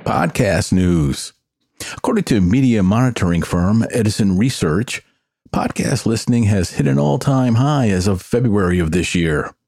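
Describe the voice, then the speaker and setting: Low-pitched older man hosting a podcast. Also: animated, normal speed, gravelly, darkish tone, no echo. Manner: opinionated, conversational. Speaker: podcast host. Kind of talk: solo podcast monologue, personal commentary.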